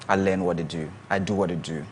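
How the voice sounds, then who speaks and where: A man guesting on a talk show, relaxed and laughing easily as he explains with examples. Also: low voice, fast talker, a little hiss or hum. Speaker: guest content creator. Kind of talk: casual interview chat. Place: TV studio.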